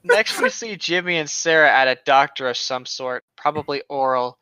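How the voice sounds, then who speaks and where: Bright-voiced man, slightly dull recording. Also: on the high side, wildly swooping pitch, normal speed, clear, resonant tone, no echo. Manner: sweary, self-correcting reader. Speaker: co-host. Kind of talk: reading a story aloud with casual comedic commentary.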